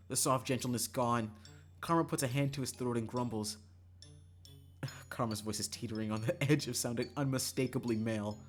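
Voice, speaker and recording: Livestreamer narrating a visual novel, expressive and sweary, mid-range pitch, music underneath. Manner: expressive, breaks into exasperated profane asides. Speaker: streamer. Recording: music behind the voice. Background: game music.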